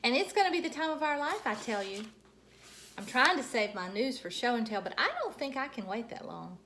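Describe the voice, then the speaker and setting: Excitable first-grade girl, played by a woman, high and emphatic, blunt chatterbox, storybook read-aloud. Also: a little fast. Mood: excited. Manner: emphatic, breathless enthusiasm, childlike phrasing. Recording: noticeable background noise.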